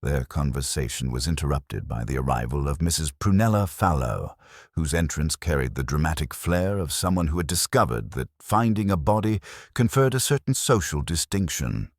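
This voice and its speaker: Very deep-voiced man narrating, lively intonation. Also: no room sound. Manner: measured, dry, formal storytelling. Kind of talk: scripted audiobook mystery narration.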